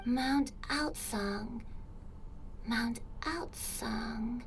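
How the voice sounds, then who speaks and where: A woman voicing a gentle, confused elderly granny who speaks hesitantly, in an anime game dub. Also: on the high side, heavy background noise. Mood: troubled. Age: older. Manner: slow, hesitant, halting. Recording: background music.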